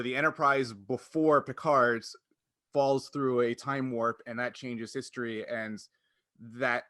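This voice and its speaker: A man's voice: medium pitch, fairly bright tone, no room sound. A man hosting a podcast, explaining things casually and acting out quotes as he goes. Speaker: podcast host and game master.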